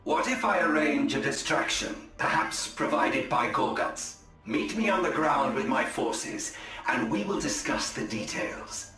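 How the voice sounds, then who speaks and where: Sly alien conspirator, heavily echoing. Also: male voice, mid-range voice, expressive, unhurried, bright, forceful tone. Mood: persuasive. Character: sly, conspiring male elf-like alien ranger. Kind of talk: dramatic video game cutscene acting. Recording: strong echo, music behind.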